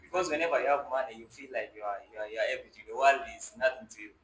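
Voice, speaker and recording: A man's voice: medium pitch, racing, fairly bright tone. A young man hosts a conversational podcast, often repeating phrases as he builds his points. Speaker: podcast host. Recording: roomy echo with faint background noise.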